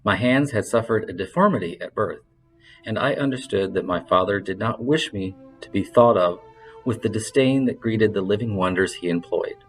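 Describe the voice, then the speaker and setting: A man reading literary fiction aloud in a measured, expressive storytelling style, like an audiobook narrator. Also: lowish voice, soft background music, low-quality recording.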